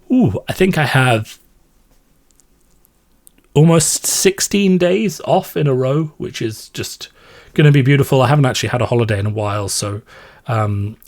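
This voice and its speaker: A man from Ireland, talking casually and unscripted to his subscribers as a podcast host, in a rambling, self-deprecating way. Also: medium pitch. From Ireland, born in London and raised in Limerick from age eight. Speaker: podcast host and content creator.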